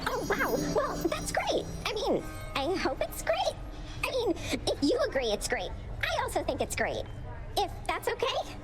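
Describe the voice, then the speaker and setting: A woman voicing a timid, eager-to-please little underworld creature in a video game, with a nervous, halting, self-doubting stammer. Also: very high voice, wildly swooping pitch, music in the background, full character voice. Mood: nervously pleased. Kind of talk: video game character acting.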